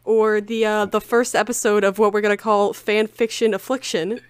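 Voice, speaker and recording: A woman's voice: mid-range voice, little pitch movement, moderate pace, clear, resonant tone, dry recording, studio quality. A woman hosting a casual group podcast, talking in an informal, sweary, giggly way. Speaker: podcast host.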